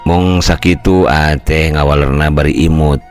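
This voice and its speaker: An older man tells a folk story in the first person. His delivery is steady and measured, and his voice sits low and deep. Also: faint music behind.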